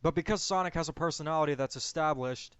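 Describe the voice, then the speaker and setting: A man's voice: fairly high pitch, fast talker, clean tone, no echo. A man giving his opinions in a casual movie discussion as a co-host. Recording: dull, lo-fi, close dry sound.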